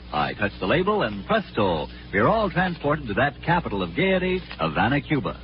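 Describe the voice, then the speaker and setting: Animated male 1940s radio ad announcer. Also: mid-range voice. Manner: emphatic, florid salesmanship. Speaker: commercial announcer. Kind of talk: 1940s radio advertisement, polished scripted pitch. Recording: muffled 1940s radio recording, music behind.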